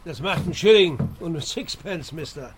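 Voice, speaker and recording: Man playing high-voiced brash, chatty cabbie. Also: expressive, brisk, close to an everyday voice. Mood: brash haggling. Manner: talkative, brash. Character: chatty, opportunistic London cab driver bearing grim gossip. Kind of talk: radio drama acting. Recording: noisy outdoor street scene.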